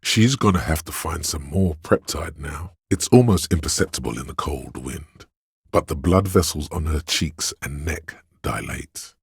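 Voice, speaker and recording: An actor voicing a seductive, hedonistic inner voice of addiction and lust in a video game, savoring words in a husky, insinuating way. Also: male voice, lively intonation, measured pace, no room sound, clean studio sound, full character voice. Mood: lustful, savoring. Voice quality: deep, gravelly, husky. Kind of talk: video game character narration.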